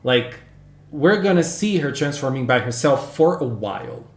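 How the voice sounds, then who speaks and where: A man whose first language is Portuguese, hosting a casual livestream and chatting with viewers in an animated, gushing way, squealing greetings. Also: medium pitch, moderate pace. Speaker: livestream host. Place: home room. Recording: echoey room with faint background music.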